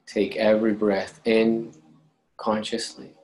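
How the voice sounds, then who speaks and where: Slow, low-voiced man guiding meditation. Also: low-quality recording. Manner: calm, unhurried instruction with long pauses. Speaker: guided meditation leader. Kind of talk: guided meditation. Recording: clear steady background noise.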